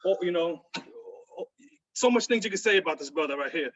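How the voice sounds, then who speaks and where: A man's voice: high voice, expressive, fairly quick, a bit bright. A man hosting an interview over a video call, hyped and slangy, calling his guest "my brother". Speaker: interview host. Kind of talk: casual interview. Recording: dull lo-fi video call audio.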